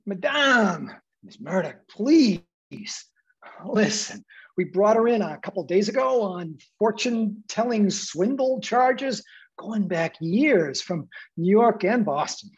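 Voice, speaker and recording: A husky 1920s cop in a radio drama, played by an actor, worn down and pleading. The sound is dull and lo-fi. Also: mid-range voice, animated, takes it slowly, heavily acted. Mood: brusque, weary. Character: exasperated, pleading 1920s police officer. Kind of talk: radio play acting.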